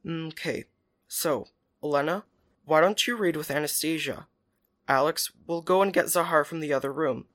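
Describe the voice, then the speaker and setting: A man voicing a brisk adult female schoolteacher in a storybook reading, giving matter-of-fact instructions in a fairly high voice. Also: fairly bright tone, lightly acted.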